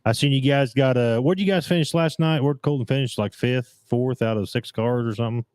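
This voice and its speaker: A man's voice: medium pitch, brisk, a little mellow, clear, resonant tone, dry recording, clean studio sound. A man hosting a casual racing talk show, his voice gone a bit scratchy, rambling and restarting often as he reads out comments. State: voice getting scratchy. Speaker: podcast host. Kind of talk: casual chat about dirt track racing.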